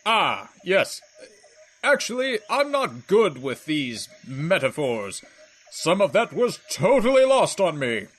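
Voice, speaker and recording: A man playing a slow-witted, pompous, trigger-happy soldier with a deliberate manner in a comedic audio drama. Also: high-pitched, very animated, a bit bright, husky, exaggerated voice. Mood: puzzled.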